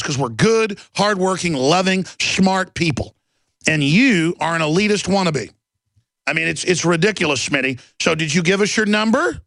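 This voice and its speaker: A man's voice: a little high, vocal fry, a bit bright, no room sound. A man with a Texas accent hosting a combative call-in radio show, ranting indignantly and restarting his sentences. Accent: Texan. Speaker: radio talk show host.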